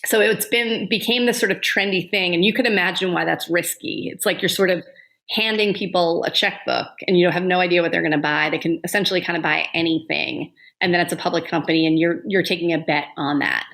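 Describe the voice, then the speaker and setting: A woman's voice: fairly low pitch, a little fast, vocal fry, a bit bright. A woman reporter guest explaining a concept casually, with frequent fillers. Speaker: journalist guest. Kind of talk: explanatory interview answers.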